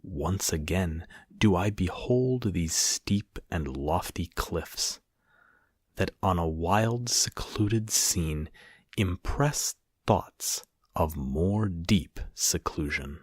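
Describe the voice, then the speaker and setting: A man with a husky, breathy voice gives a solemn poetry reading in measured short phrases with pauses between them. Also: deep voice, dry recording, studio quality. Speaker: poetry reader.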